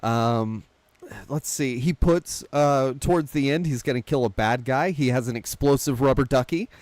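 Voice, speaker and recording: A man hosts a relaxed film chat in a mid-pitched voice, recorded very dry. He recaps plots conversationally and drops in wry, self-deprecating asides. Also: normal speed, no room sound. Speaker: podcast host. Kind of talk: casual film discussion, recapping a plot.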